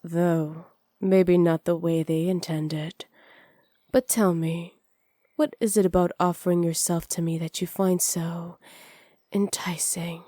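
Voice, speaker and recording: Woman voicing a slow, sultry villainess witch. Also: on the low side, level tone, mellow, no room sound, studio quality. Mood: sly, probing. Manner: slow, breathy, often whispered, flirtatious purr. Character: seductive, teasing villainess witch, sly and possessive. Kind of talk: ASMR roleplay, intimate address to the listener. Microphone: close studio microphone.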